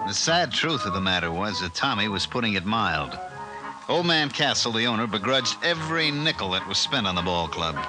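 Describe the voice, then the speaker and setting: A man's voice: on the low side, natural voice, poor audio. Man acting a weary, wry American baseball coach. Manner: folksy, colloquial, sardonic. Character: weary, wry older baseball first base coach narrating. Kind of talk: 1950s radio drama first-person narration. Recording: vintage 1950s radio recording, music underneath.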